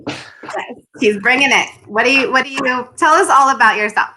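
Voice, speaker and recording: A woman's voice: high-pitched, normal speed, noisy background. A woman hosting a casual interview, friendly and enthusiastic as she introduces and questions her guest. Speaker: host.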